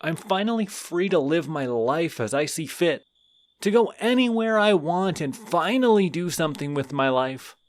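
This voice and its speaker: A man's voice: fairly high pitch, lively intonation, normal speed, no room sound, natural voice. A man voicing a friendly, lonely male skeleton in an audio roleplay, rambling and apologizing a lot to the listener. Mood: grumbling. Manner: rambling, sheepish, over-apologetic.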